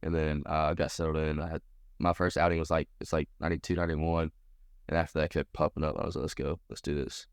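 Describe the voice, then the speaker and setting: Deep-voiced young American man, smooth and casual. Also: little pitch movement, fast talker, no echo, studio quality. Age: around 20. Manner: informal, slangy. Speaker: college baseball pitcher being interviewed. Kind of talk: casual interview answers.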